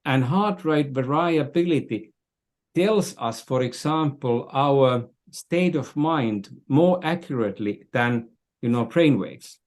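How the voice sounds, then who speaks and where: Slow-talking older Finnish man. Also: mid-range voice, lively intonation, a little mellow. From Finland. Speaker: podcast guest. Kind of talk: reflective interview talk.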